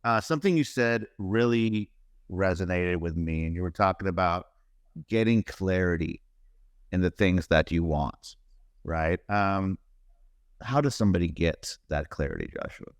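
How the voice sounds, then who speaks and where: A man's voice: sits low, lively intonation, normal speed, smooth, no room sound, studio quality. A man co-hosting a podcast, asking questions in a laid-back, slangy way with casual swearing. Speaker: podcast co-host. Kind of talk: casual interview.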